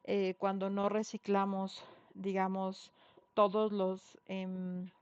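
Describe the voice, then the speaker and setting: A woman's voice: medium pitch, monotone, takes it slowly, smooth, dry recording. A middle-aged woman from Mexico City with a Mexican Spanish accent, giving an online university lecture in a conversational way, full of fillers and tag questions. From Mexico City (Xochimilco). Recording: dull dry online class audio.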